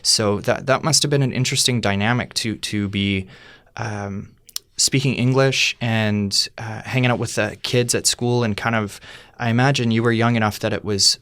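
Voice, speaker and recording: A man's voice: on the low side, fairly even intonation, moderate pace, a bit bright, clear, resonant tone, no room sound, clean studio sound. A man hosting an interview, asking questions in a hesitant way full of fillers and restarts. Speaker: interviewer, podcast host. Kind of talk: casual interview chat.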